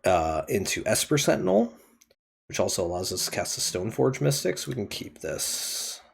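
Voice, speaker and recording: A man's voice: lowish voice, big pitch swings, moderate pace, gravelly, breathy edge. A man streaming a card game, thinking aloud as he plays, his voice still throaty from a cold. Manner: thinking aloud while playing. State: throaty, getting over a cold. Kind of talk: casual card game commentary.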